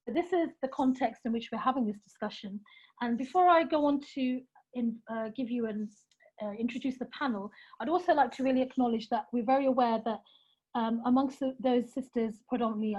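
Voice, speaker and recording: A woman hosting an online panel discussion, introducing the speakers in an earnest, measured way with frequent fillers, over a video call. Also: a little high, darkish tone, husky, dull audio. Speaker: panel chair and host.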